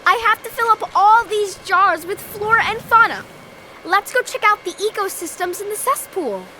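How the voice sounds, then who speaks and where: A woman's voice: very high-pitched, expressive, normal speed, bright, forceful tone, slight background noise, exaggerated voice, poor audio. A woman voicing a bossy, brainy schoolgirl who loves science, pedantic and insistent, in a children's audio drama. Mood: know-it-all.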